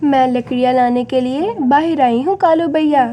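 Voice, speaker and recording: Woman as a gentle, high-voiced mother sparrow. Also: normal speed, lightly acted. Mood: gentle, wistful. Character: gentle mother sparrow grieving her lost chick.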